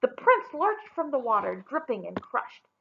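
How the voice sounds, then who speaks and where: A woman reading a children's story aloud in an expressive storytelling style. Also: high voice, normal speed, creaky, highs cut off, a little hiss or hum.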